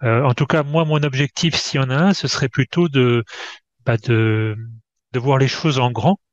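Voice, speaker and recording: A man hosting a conversational interview over a video call, speaking haltingly, with many hesitations and restarts. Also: mid-range voice, no room sound. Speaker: host. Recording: dull, dry video-call audio.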